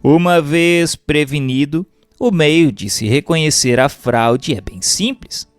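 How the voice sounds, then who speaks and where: A man's voice: fairly high pitch, takes it slowly. A man with a Brazilian accent reading a book aloud as formal, didactic scripted narration, enunciating carefully. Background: music.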